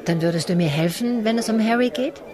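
A woman's voice: medium pitch, fairly quick, music in the background, close to an everyday voice. A woman playing a coaxing, scheming mother in a German radio drama.

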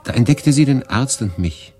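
A man acting a guarded, quietly jealous refugee who recounts his exile in a German radio drama. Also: medium pitch, lively intonation, moderate pace, natural voice. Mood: brooding.

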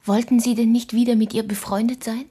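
A woman's voice: on the high side, level tone, fairly quick, dark tone, lightly acted. An actress playing a worried, insistent teenage girl, a protective sister, in a radio drama. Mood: curious.

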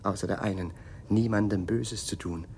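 An actor playing an agitated, eccentric male scientist haunted by war in a radio play, with a husky voice and an intense manner. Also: lowish voice, fairly even intonation, a little hiss or hum, lightly acted. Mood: grim, mournful. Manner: slow, intense, swinging from cries to hushed brooding. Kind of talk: dramatic radio play acting.

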